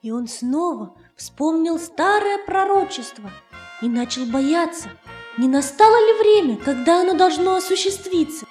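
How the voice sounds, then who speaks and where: A woman narrating a fairy tale for children in a measured, expressive storytelling manner. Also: very high-pitched. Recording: roomy echo, faint music underneath. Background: faint music.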